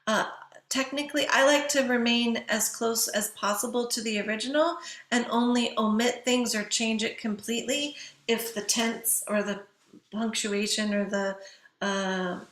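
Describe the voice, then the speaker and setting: A woman's voice: fairly high pitch, fairly even intonation, unhurried, a bit bright, echoey room. A woman giving an instructional writing lecture in a didactic way, posing questions to listeners and answering them herself.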